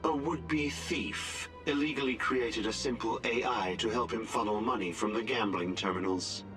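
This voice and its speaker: A man voicing a rogue artificial intelligence in a video game, cold, formal, measured and defiant. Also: medium pitch, over music, lightly acted. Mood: cold, factual.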